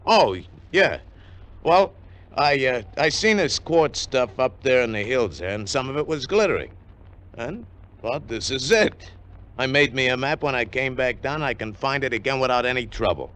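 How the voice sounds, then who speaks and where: A male actor plays a big, jovial, simple-minded American slob in a 1950s radio play. His voice is bright and forceful, leaping up and down in pitch. He talks in a folksy, boisterous, repetitive way. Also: expressive, normal speed, lightly acted. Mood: eager, rambling. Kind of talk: 1950s radio drama acting.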